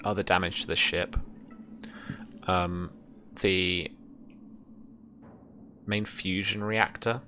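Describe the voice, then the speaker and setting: A young man improvising tabletop game narration as game master, halting and thinking aloud. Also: low-pitched, fairly even intonation, sharp tone, a little hiss or hum. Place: small room at home, indoors.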